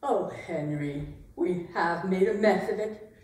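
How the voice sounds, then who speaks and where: A woman playing a weary, wounded, sharp-tongued medieval queen in a dramatic staged reading. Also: lowish voice, unhurried, natural voice. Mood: dry, weary. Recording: very echoey room, distant microphone.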